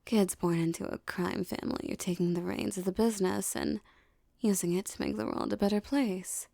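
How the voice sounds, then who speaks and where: A woman as a mellow, tough, doting mafia girlfriend. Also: medium pitch, steady tone, moderate pace, gravelly, dry recording, clean studio sound. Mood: dreamy, assured. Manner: soft, intimate, slangy tough talk with tender teasing. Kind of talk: ASMR romance roleplay, intimate scripted acting.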